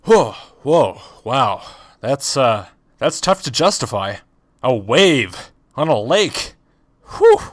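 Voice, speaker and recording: A man's voice: mid-range voice, sing-song, dry recording, studio quality. A man voicing a sarcastic, skeptical male scientist who fires off one argumentative rebuttal after another. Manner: argumentative, rapid-fire rebuttals.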